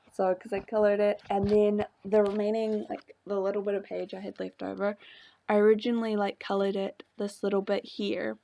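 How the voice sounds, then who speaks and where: A young woman vlogger chatting casually about her journal pages, rambling with many fillers and little laughs, her voice slightly hoarse from a coming cold. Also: mid-range voice, flat delivery, moderate pace, dark tone. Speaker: craft vlogger. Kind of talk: casual chat showing a journal.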